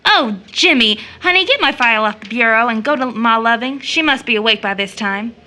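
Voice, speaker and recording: A woman playing a doting, playful young woman who teases and gently corrects in an audio drama. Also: fairly high pitch, lively intonation, fairly quick. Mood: fond, teasing. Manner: teasing, gently correcting, fond. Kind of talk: stage play audio drama acting.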